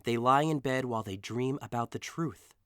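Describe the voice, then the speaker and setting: A man's voice: mid-range voice, moderate pace, clean tone, no room sound, studio quality, lightly acted. An actor playing a brooding, lovesick young nobleman in an audio drama, earnest and reluctant. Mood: gloomy.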